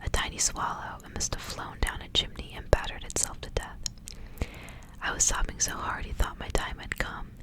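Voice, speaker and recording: Female ASMR reader for literary fiction. Whispers throughout, breathy and gentle, narrating in short phrases. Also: normal speed. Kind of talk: ASMR whispered storybook reading.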